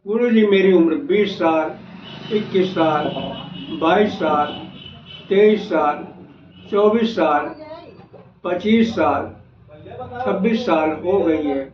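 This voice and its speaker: A man's voice: sits high, slow pace, long pauses. A man voicing a pleading, despairing young woman who longs to marry, in an astrology video. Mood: pleading, wistful. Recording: echoey, noisy, dull lo-fi, distant mic.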